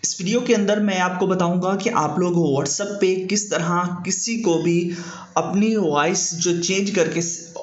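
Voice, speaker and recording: A man's voice: high voice, unhurried. A man hosts an informal app tutorial, explaining in a repetitive, filler-heavy way. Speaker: YouTube tutorial host. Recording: echoey room, dull muffled sound.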